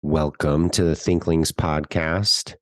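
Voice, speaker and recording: A man's voice: fairly even intonation, takes it slowly, dry recording. A man speaking from the very bottom of his range, his voice thick from a cold he is getting over. He chats casually and drily as a podcast co-host, recorded close and dry. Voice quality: very deep voice from a cold. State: recovering from a cold. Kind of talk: casual chat.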